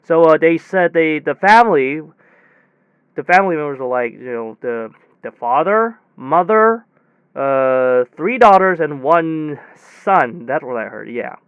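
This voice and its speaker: A dull, close and dry recording of a high-pitched male technician played by a man in an audio drama. He rambles in a rattled, conversational way, stumbling over himself, repeating words and correcting what he just said. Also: lively intonation, smooth, dry recording. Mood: groping, uncertain. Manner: halting, repetitive, full of false starts and self-corrections. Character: rattled, rambling young technician retelling a bizarre demon encounter. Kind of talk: hobby audio drama monologue, conversational retelling.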